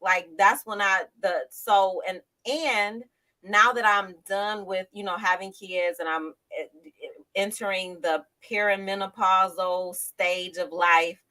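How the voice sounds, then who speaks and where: A woman co-hosting a casual health chat, chatty and quick to respond. Her voice is bright and forward, with a teasing, friendly ease. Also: mid-range voice, steady tone. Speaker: podcast co-host.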